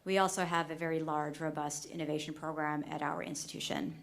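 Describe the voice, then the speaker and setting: Low-voiced woman physician on a panel. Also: steady tone, a little fast, vocal fry. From United States. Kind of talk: conference panel talk.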